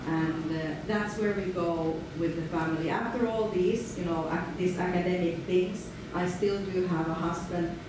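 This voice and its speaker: A middle-aged woman with a Finnish accent, speaking a little low, gives a relaxed and chatty lecture full of small fillers. She sounds far from the microphone in a big echoing hall, with a steady hum of room noise behind her. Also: normal speed, few pauses. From Finland. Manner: relaxed, chatty, laughs often, many fillers. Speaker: guest lecturer. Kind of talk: conference lecture.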